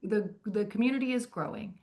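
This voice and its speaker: A woman's voice: mid-range voice, fairly quick, a little mellow. A woman filmmaker answering interview questions in a matter-of-fact way.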